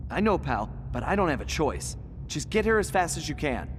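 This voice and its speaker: An actor playing a young, defiant thief hero in a video game, with a determined, wisecracking manner. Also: male voice, on the high side, big pitch swings, brisk, slight background noise. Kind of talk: animated video game cutscene acting.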